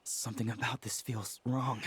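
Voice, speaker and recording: A man's voice: medium pitch, fairly even intonation, moderate pace, a little hiss or hum, lightly acted. An actor playing an anxious, hesitant young male accomplice in a video game, talking in a fretful, agitated way.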